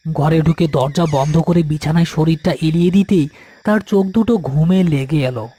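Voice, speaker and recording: A man's voice: on the high side, brisk, dark tone, husky, no room sound. A man reading a scripted horror story as its narrator.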